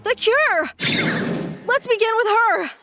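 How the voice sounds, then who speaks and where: Casting: very high, anxious young woman healer, urgent and strained, as a woman voices her, faint music underneath. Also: animated, slow, pushed and bright, exaggerated voice. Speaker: Coniglio. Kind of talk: fan audio drama acting.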